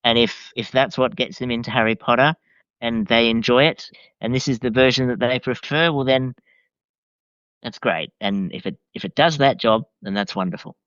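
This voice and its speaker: Man, podcast guest. Smooth mid-pitched voice, hesitant and mild, on a slightly muffled recording. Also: normal speed, a bit bright, dry recording. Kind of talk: casual conversational book review.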